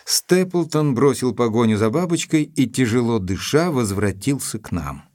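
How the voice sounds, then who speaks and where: An actor playing an observant, courteous gentleman who narrates an audio drama in a measured, literary, polite way. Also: mid-range voice, lively intonation, dry recording, close to an everyday voice. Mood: observant, narrating.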